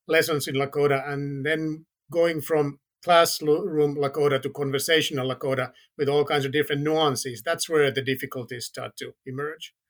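A man's voice: on the high side, fairly even intonation. A man from Finland with a Finnish accent, a podcast guest speaking carefully in English with frequent restarts. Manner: careful, halting, with many restarts. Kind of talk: casual podcast conversation.

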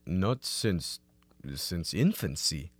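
A man's voice: sits low, sing-song, very slow, dry recording, soft background music, heavily acted. A young man acting an earnest, naive and anxious Soviet comrade, formal and literal-minded, in a radio comedy. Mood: earnest pleading. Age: young adult.

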